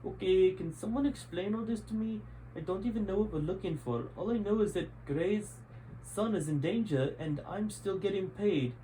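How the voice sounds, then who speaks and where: A man reads as a bewildered taxi driver in an adventure storybook. His voice lifts higher as he questions everything in confusion, with faint noise behind. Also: normal speed, warm tone, lightly acted, rough recording. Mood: confused.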